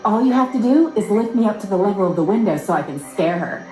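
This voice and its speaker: A woman's voice: medium pitch, expressive, fast, mellow, close to an everyday voice. A woman voicing a shy but sly young teenage girl in dramatized story dialogue. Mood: pleading, scheming. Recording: echoey room, music behind.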